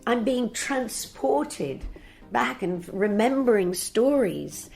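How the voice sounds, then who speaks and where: A woman's voice: on the high side, expressive, slow pace, creaky, a little mellow, soft background music. An older woman, an actress, reminiscing affectionately and effusively in a casual interview. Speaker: actor being interviewed.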